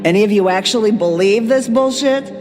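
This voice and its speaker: An actress voicing a fierce, grieving troll barmaid who accuses and shouts in fury, in a game. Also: female voice, mid-range voice, fairly quick, lightly acted. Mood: enraged. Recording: game audio with hall reverb and music.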